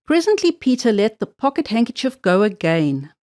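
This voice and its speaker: Female storyteller for children's read-aloud, very animated pitch, measured phrasing with drawn-out key words, dry recording. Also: dry recording. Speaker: storybook narrator.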